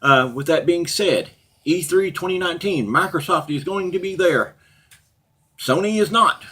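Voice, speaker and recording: A middle-aged man with a husky voice, talking casually and off the cuff as a blunt, profane, opinionated gaming channel host. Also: high voice, moderate pace, pushed and bright. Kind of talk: casual unscripted rant and commentary to viewers.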